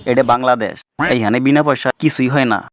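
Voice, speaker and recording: A man's voice: mid-range voice, brisk, a loud crowd, lightly acted. A man with a Bangladeshi accent playing a stubborn, bullying cartoon extortionist who makes blunt demands. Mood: demanding. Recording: very noisy track with clicks.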